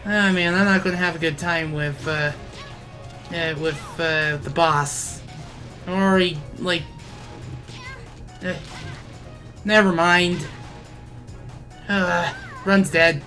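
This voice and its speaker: A woman's voice: lowish voice, fairly even intonation, fairly bright tone. A woman giving casual video game commentary, hesitating often and correcting herself as she goes. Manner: halting, self-correcting, frequent hesitations. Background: game music.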